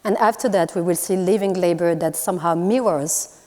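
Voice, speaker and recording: Female artist from Morocco, native Moroccan Arabic speaker, lowish mellow voice, hesitant lecture delivery. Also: moderate pace. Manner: thoughtful, hesitant with many fillers and restarts. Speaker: artist in a panel talk. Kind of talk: public talk at a university event. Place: lecture hall. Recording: long hall reverb.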